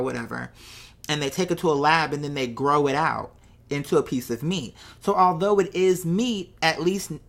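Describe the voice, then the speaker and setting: A woman with a low-pitched voice speaks in a casual, unscripted vlog style. She thinks aloud as she goes, wandering conversationally through her points and often turning to the listener with rhetorical questions. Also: fairly bright tone. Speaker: vlogger. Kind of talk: casual off-the-cuff monologue, thinking aloud to viewers.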